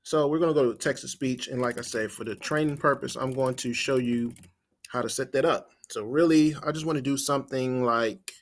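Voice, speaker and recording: Man giving an informal software walkthrough. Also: medium pitch, brisk. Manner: step-by-step, explanatory, thinking aloud while typing. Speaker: marketing trainer. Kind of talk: informal screen-share software tutorial.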